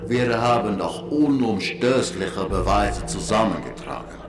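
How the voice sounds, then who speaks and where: A grave, deep-voiced official. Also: male voice, expressive, echoey room, over music. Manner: slow, solemn, insistent. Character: grave male official urging action. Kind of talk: radio play acting.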